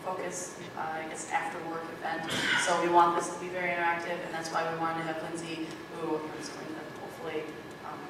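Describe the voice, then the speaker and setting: A woman's voice: low voice, completely flat delivery, fast. A woman introducing a speaker at an event, hesitant and full of fillers. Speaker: organizer introducing the moderator. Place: event room. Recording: echoey hall mic from far off, background noise.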